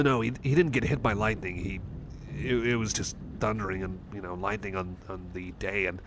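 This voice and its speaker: Guy playing a timid anxious cyborg buddy, lowish voice, stutters n apologizes a lot, asmr roleplay, loud ambient noise behind him. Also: close to an everyday voice. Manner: nervous stammering, hesitant, halting, apologetic. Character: shy, anxious young male cyborg friend, apologetic. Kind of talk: ASMR roleplay, personal attention.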